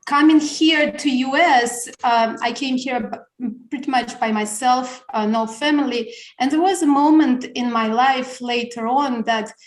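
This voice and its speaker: A middle-aged woman from Russia, a Russian native speaker, talking thoughtfully as a guest on a video call, pausing and restarting words. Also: high voice. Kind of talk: reflective interview conversation. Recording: roomy echo.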